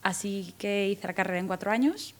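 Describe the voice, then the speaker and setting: A woman in her thirties from Guatemala, with a faint Guatemalan accent softened by Spanish from Spain, answering interview questions as a guest lawyer with frequent restarts and fillers. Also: medium pitch, a little fast. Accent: light Guatemalan, mostly Spanish from Spain. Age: 30s. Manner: thoughtful, many restarts and fillers, lively rises when retelling.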